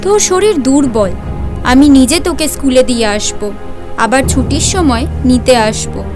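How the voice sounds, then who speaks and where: A woman's voice: high voice, expressive, normal speed, over music. A woman playing a protective, insistent mother in an audio story. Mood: firm, caring.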